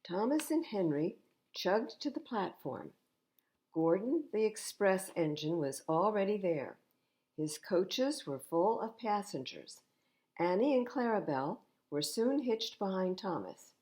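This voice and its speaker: An older woman reading children's stories aloud at storytime, expressive and unhurried, with little asides to young listeners. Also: medium pitch. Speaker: library storytime reader.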